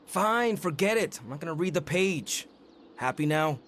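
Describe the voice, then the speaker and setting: An actor playing a stubborn, anxious young hero in a video game, with a defensive, sardonic way of talking. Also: male voice, on the high side, expressive, normal speed, a bit bright, a little hiss or hum. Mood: frustrated, sarcastic.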